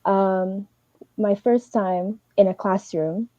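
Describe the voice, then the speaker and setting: A young woman from Trinidad and Tobago, giving fast, earnest advice as a teaching assistant on a livestream. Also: medium pitch, fairly even intonation, mellow, dry recording, dull audio. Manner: fast, earnest, advising directly.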